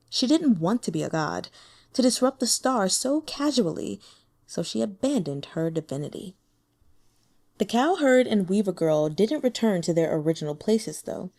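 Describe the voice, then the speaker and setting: A woman reading a translated fantasy novel aloud as an audiobook, with expressive, measured storytelling. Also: medium pitch. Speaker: audiobook reader.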